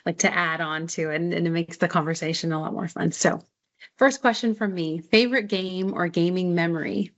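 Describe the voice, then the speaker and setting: A woman's voice: lowish voice, fairly even intonation, a little fast. A woman co-hosting a podcast over a remote video call, interviewing in a casual, conversational way. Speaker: podcast co-host. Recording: dull, lo-fi online call audio.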